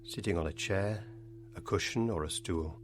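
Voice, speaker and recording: A man leading a guided meditation, speaking in unhurried phrases with long pauses between them. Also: low-pitched, background noise.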